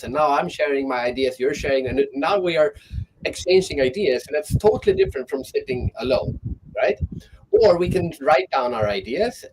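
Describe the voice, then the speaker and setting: A man's voice: on the high side, noisy background. A man speaking as a guest on an online panel, talking thoughtfully and often restarting his sentences.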